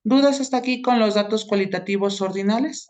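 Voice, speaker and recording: A woman teacher, giving an online lesson. She explains each idea step by step and keeps checking in with short tag questions. Her voice sits at an ordinary middle pitch. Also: fairly quick, darkish tone. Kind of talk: online class lecture.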